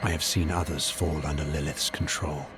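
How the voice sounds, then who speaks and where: A grim fantasy game hero. The voice is extremely deep and the delivery terse, with music clearly behind it. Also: male voice, little pitch movement, normal speed. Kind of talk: video game dialogue acting.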